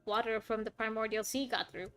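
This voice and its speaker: Higher-voiced woman giving casual game commentary. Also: steady tone, a little fast, fairly bright tone, faint music behind. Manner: musing aloud, reacting to dialogue. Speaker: streamer playing a game.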